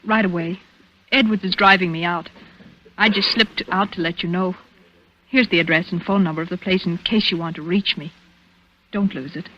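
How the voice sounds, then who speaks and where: A woman's voice: lowish voice, fairly even intonation, normal speed, long pauses, lightly acted. An actress playing a bold young socialite woman in a 1940s radio drama. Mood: brisk, intimate. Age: young adult. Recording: hissy, dull vintage radio recording.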